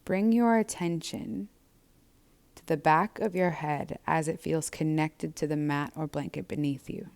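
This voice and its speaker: A woman leads a guided breathing and body scan practice as a yoga instructor. Her voice sits low, with a clear, smooth tone and a creaky fry. She speaks gently, in measured, soothing phrases. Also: fairly even intonation.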